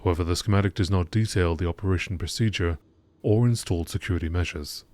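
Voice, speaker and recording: A man reading scripted lore in a measured, formal documentary narration style. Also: low-pitched, darkish tone.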